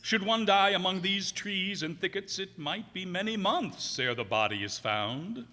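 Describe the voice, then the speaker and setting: A brooding sea captain of old-time radio drama, acted by a man, with a bright, forceful voice that swings widely in pitch. His speech is archaic and theatrical, full of torment. It rings with the long reverb of a hall. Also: animated, measured pace, full character voice. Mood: dark, musing. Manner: archaic, dramatic delivery with thee and thou.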